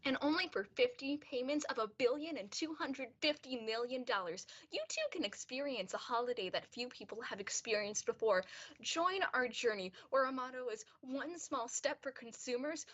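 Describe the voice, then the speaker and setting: A young woman plays a peppy saleswoman in a spoof radio ad. Her voice sits a little high as she pitches to listeners. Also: normal speed, fairly bright tone, muffled recording. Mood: upbeat selling. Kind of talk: mock radio commercial.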